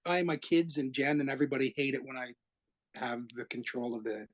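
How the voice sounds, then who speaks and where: A man's voice: mid-range voice, fairly quick, dull audio. A middle-aged man of Egyptian roots, a comedian guest telling wry stories in a casual podcast chat. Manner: easygoing, wry storytelling.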